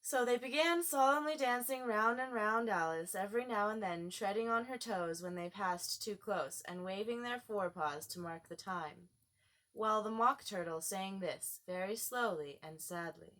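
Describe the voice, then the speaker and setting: A woman's voice: mid-range voice, moderate pace, a bit bright. A woman reading a storybook aloud as scripted narration. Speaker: audiobook reader.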